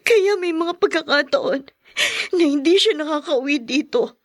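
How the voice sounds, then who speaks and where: A woman's voice: sits high, expressive, normal speed, dry recording, studio quality. A woman playing a hurt young wife in a radio drama, pleading and tearful.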